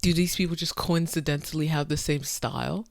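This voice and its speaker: A woman talking to her camera late at night, incredulous and exasperated, swinging between soft muttering and sudden loud outbursts. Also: sits low, steady tone, a little fast, vocal fry, no echo. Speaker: vlogger. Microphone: phone or camera close up.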